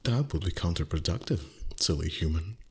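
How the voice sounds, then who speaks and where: A man voicing an ancient, proud dragon, gruff but secretly tender, with haughty, teasing, formal speech in a roleplay ASMR performance. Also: deep voice, animated, moderate pace, darkish tone, full character voice. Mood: cynical, teasing.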